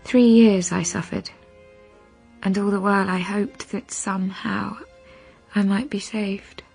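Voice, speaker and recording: An actress playing an innocent, sorrowful young wife in a period radio drama, narrating in a measured, confiding way. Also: female voice, mid-range voice, fairly even intonation, vocal fry, darkish tone, soft background music. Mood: sorrowful, restrained.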